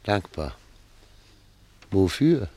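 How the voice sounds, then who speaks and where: A man's voice: low voice, big pitch swings, extremely slow, dark tone, heavily acted. A man playing a troubled, grave statesman in a German radio drama, formal and brooding in his speech. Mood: puzzled, probing. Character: troubled, grave male statesman addressed as Excellency.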